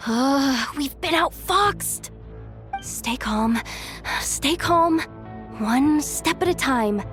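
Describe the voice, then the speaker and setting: A woman's voice: high-pitched, animated, very slow, fairly bright tone, faint music behind, heavily acted. A woman voicing a cheeky, scheming young treasure hunter in a video game, chatty, dramatic and easily flustered. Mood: anxious, self-talking. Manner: chatty, dramatic, self-talking.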